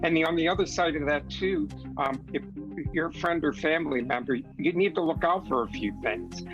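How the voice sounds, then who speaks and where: A man speaking candidly as an interview guest, earnest and reflective, with halting restarts. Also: a little high, lo-fi sound, music in the background. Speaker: magazine editor, interview guest.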